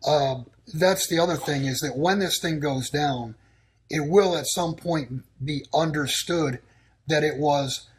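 A man speaking as a guest on a talk show, giving blunt, declarative warnings in short, clipped sentences. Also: fairly high pitch. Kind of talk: casual talk-show answers. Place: in Texas.

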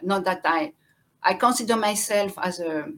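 A middle-aged woman with a French accent, a guest telling her life story in a halting way, often restarting and searching for words. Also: mid-range voice, a bit bright. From born in Central Africa, raised in France. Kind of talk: personal life story in conversation.

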